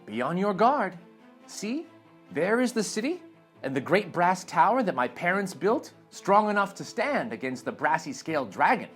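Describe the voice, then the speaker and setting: A man's voice: high voice, sing-song, moderate pace, fairly bright tone, soft background music. A man voicing a noble, watchful young lady in a storybook, speaking earnestly. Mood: earnest, urgent.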